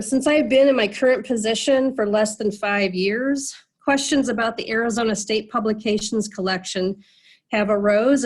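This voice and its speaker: A woman moderating an online meeting over a video call, sounding nasal from a cold, chatty with many fillers and restarts. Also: mid-range voice.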